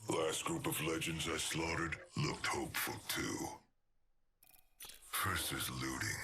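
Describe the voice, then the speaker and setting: A sinister machine assassin from a video game, with an extremely low, gravel-filled voice. He savors every threat slowly and cruelly, sliding between a sharp-edged growl and a breathy whisper. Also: a little hiss or hum, exaggerated voice. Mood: sadistic relish. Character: menacing sadistic robotic killer, male, taunting his prey. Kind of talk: video game character voice lines.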